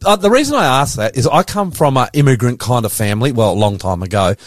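A man's voice: mid-range voice, expressive, quick pace, no room sound, clean studio sound. A man from Australia with an Australian accent, hosting a casual interview in a curious, colloquial, easily amused way. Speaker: podcast host.

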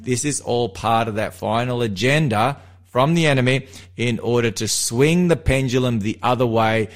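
A man's voice: mid-range voice, normal speed. Chatty Australian man on radio. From Melbourne, Australia. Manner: chatty, animated, jokey. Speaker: radio host and seminar presenter. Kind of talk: casual radio chat on faith.

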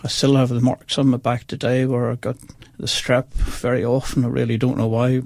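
A man's voice: mid-range voice, flat delivery, moderate pace, creaky, darkish tone, dry recording. A man in his sixties with a Northern Irish accent, telling his life story as a radio guest in an earnest, chatty way. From Northern Ireland. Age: 60s. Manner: chatty, earnest, addresses listeners directly. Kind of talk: personal testimony, casual storytelling.

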